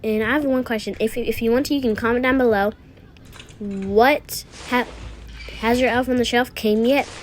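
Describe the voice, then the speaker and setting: A woman's voice: a little high, steady tone, moderate pace, a little hiss or hum. A woman casually improvising narration for a toy-app roleplay video, thinking aloud through each small action. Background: music.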